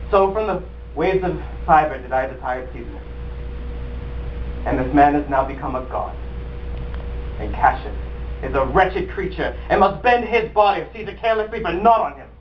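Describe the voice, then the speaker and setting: A man's voice: fairly high pitch, lively intonation, moderate pace, pauses often, natural voice. A young man acting a bitter, envious Roman conspirator in a declaimed Shakespearean stage monologue. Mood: intense storytelling, then scornful. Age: young adult. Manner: theatrical declamation, persuasive. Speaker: acting student. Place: acting studio. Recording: distant mic, muffled, faint noise.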